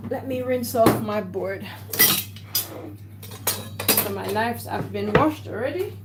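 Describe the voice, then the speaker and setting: A woman's voice: on the high side, expressive, slow pace, noisy background. A woman with a Jamaican accent, casually talking through her cooking steps in a home kitchen. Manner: chatty, talks herself through each step. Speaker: home cook vlogger. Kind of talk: casual cooking vlog talk. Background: clatter of dishes and cutlery.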